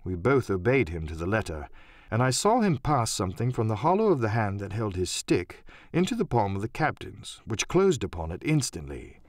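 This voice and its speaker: Animated male audiobook narrator. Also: lowish voice, normal speed, no echo, studio quality. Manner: theatrical, expressive. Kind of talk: dramatic scripted storytelling narration.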